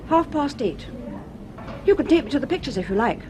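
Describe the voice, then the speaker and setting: A woman's voice: sits high, expressive, a little fast, a little mellow. A woman playing a sensible, inquisitive young student in a radio drama, speaking in an earnest, questioning manner. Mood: practical, earnest. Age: young adult. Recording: noisy radio drama recording.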